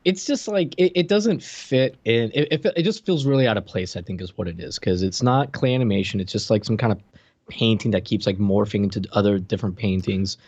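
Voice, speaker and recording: A man's voice: on the low side, a little fast, darkish tone, no room sound. A man in his thirties gives blunt, casual opinions as a podcast co-host, often hedging them. The sound is dry, with no room to it, and a little dull and lo-fi. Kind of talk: casual critical chat. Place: indoor room, video call.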